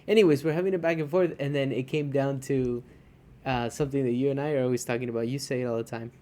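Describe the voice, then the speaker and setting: A man co-hosts a podcast in casual chat. His voice sits at a middle pitch with a warm, mellow tone. He talks conversationally, often checks in with his partner and restarts his sentences as he goes. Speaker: podcast co-host.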